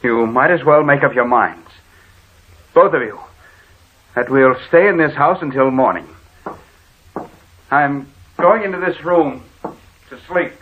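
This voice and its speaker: An actor playing a brooding, irritable husband turning menacing in an old radio horror drama, curt and commanding. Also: male voice, medium pitch, slow pace, pauses often, husky. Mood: cold, menacing. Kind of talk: vintage radio horror acting. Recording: muffled, phone-like old radio recording.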